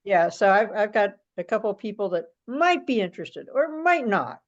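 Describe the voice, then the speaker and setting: A woman with a medium-pitched voice talks in a plain-spoken, informal way. She is on a conference call with dull, lo-fi sound. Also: sing-song, moderate pace. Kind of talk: casual meeting chat. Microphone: teleconference call.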